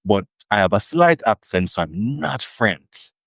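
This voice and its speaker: A man's voice: fairly low pitch, very animated, a little slow, creaky, a bit bright, no room sound, studio quality. A Jamaican man, a linguist guest, telling stories in a thoughtful, emphatic way in a casual interview. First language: Jamaican Creole.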